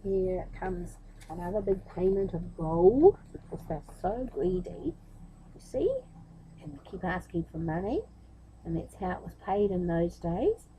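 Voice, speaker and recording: Animated, mellow-voiced woman storyteller. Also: fairly low pitch, measured pace, a little hiss or hum, rough recording. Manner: expressive, addresses young listeners directly. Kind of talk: children's storybook reading with chatty asides.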